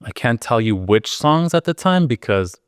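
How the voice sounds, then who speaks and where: A man's voice: medium pitch, sing-song, moderate pace, smooth, no room sound, studio quality. A man in his thirties from the Arizona–Mexico border, a music producer telling stories from his childhood in an easygoing, reflective way with plenty of fillers. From Arizona-Mexico border, Sonoran Desert. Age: 30s. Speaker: podcast guest, music producer and DJ. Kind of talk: casual interview chat.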